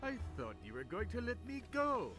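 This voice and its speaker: A man's voice: sits high, huge pitch swings, normal speed. A man voicing a menacing robot crime lord in a video game, with theatrical villain delivery. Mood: pleading, grudging. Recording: game music behind the voice.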